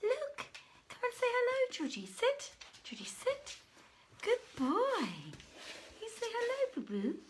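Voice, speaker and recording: A woman's voice: very high voice, huge pitch swings, slow pace, slight background noise. A middle-aged woman hosting a casual livestream, chatting effusively to her viewers. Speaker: host of live story readings. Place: living room by a fireplace. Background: faint music at first.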